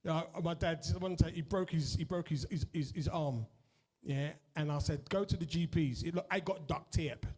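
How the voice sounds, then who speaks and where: A middle-aged man with a broad East London accent works a live comedy crowd in a high, bright-edged voice. He rambles in a chatty way, swears freely and tags his lines with "innit" and "right". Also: normal speed, husky. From East London, England. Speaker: stand-up comedian. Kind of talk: stand-up comedy set with crowd work. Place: comedy club with a live audience.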